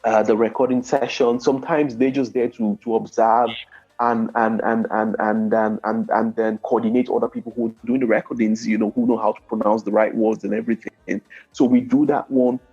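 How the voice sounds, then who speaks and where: A man's voice: on the low side, level tone, brisk, a little mellow, slightly rough, lo-fi sound, soft background music. A middle-aged man with a Nigerian accent, a native Yoruba speaker, explaining his work step by step as an interview guest, often restarting and repeating words. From Nigeria (Lagos). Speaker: guest, game developer. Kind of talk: interview conversation.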